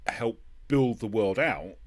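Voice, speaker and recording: A man's voice: fairly low pitch, lively intonation, slow pace. A man hosting a podcast in a casual conversational style, hesitant and agreeable as he talks. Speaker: podcast host. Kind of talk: casual interview chat.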